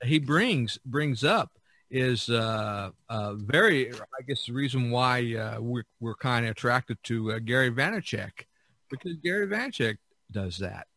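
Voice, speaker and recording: Guy hosting a laid back podcast, bright voice, stop and start talker, dry sound. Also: mid-range voice, lively intonation, a little slow, no room sound. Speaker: podcast host. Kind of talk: casual chat.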